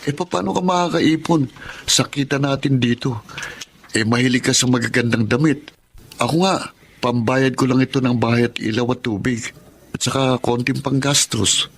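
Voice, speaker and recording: A man's voice: medium pitch, normal speed, a little hiss or hum, close to an everyday voice. A man playing an earnest, polite twenty-year-old gas station attendant in a drama, with a Filipino accent. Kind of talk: Filipino drama acting and narration.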